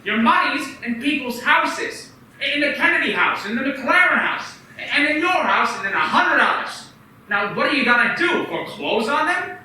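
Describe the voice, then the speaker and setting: Seorang pria memerankan bankir muda kota kecil yang tulus dan mendesak, dengan nada memohon yang hidup. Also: male voice, very high-pitched, moderate pace, exaggerated voice. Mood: pleading. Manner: pleading, urgent, animated. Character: earnest young male small-town banker. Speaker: radio play actor. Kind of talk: community radio play acting. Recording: echoey room, far from the mic.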